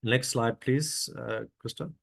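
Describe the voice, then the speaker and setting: A middle-aged man presenting on a webinar over a video call, hoarse with a cold and pausing often on ums and uhs. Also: mid-range voice, a bit bright. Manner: halting, frequent ums and uhs, restarts. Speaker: webinar speaker.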